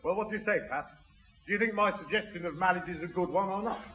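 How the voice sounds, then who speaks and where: Man acting a high-pitched, chummy Northern bachelor. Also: quick pace, close to an everyday voice. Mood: delighted. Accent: Northern English. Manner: chummy, pompously muddles long words. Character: jovial middle-aged bachelor neighbour who mangles fancy words. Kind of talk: 1960s radio sitcom acting. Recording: muffled, hissy, reverberant 1960s radio recording.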